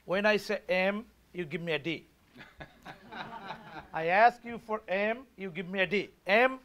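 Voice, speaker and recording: Emphatic Bangladeshi-accented man, high bright voice. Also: unhurried. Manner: emphatic, rousing, leads call-and-response. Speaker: party chair candidate. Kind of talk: campaign speech at a forum.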